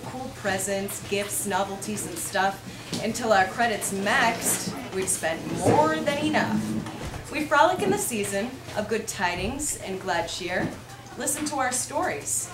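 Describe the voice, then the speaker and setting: A woman reading light, humorous verse aloud to an audience as one of the readers at a live event. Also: a little high. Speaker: reader at a holiday readers theater. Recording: echoey room with clear background noise.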